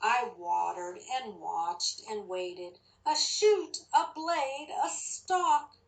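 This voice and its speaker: Slow-paced woman reading to children. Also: mid-range voice, expressive, gravelly, low-quality recording. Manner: slow, deliberate, addressing children with questions. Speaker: children's story reader and teacher. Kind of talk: picture book read-aloud and lesson for young children.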